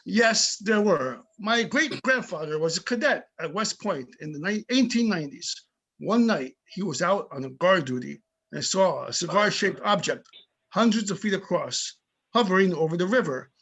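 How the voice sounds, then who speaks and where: Man to play small-town older police chief for a play reading: tough but friendly, opinionated, husky and high for a man. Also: expressive, moderate pace, a bit bright, heavily acted. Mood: matter-of-fact. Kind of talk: amateur readers theater reading over videoconference.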